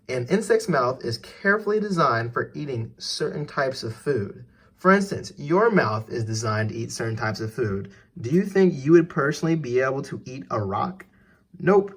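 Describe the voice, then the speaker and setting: Man, medium-pitched voice, teacher read-aloud, instructive, questions aimed at young listeners. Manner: didactic, questioning the listener directly. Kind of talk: educational read-aloud for children with asides and questions.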